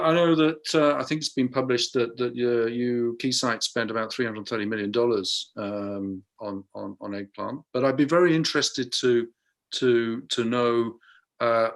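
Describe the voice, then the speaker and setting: Guy hosting a live online interview, lots of ums and restarts, mid-pitched voice. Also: a bit bright. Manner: hesitant, many fillers and restarts. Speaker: interview host.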